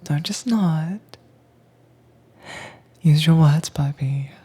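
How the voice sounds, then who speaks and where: A woman acts out a teasingly dominant tamer of captive werewolves in an intimate ASMR roleplay. Her voice is a very breathy, low whisper with a soft, mellow tone. She speaks slowly, coaxing and commanding with calm control. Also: full character voice. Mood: gently commanding.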